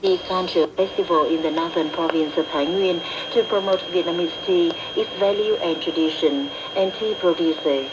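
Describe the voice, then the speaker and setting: A woman newsreader with a lowish voice delivers scripted reports. The shortwave signal is dull and muffled, buried in loud static. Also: normal speed, hardly any pauses. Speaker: news reader. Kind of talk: scripted news narration. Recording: muffled, very noisy shortwave radio. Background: loud radio static.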